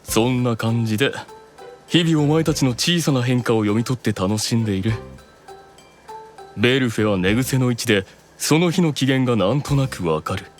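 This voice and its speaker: An actor playing a proud, keenly observant demon eldest brother in an anime audio drama, explaining things with deliberate authority. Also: male voice, medium pitch, faint music behind, close to an everyday voice. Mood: coolly observant.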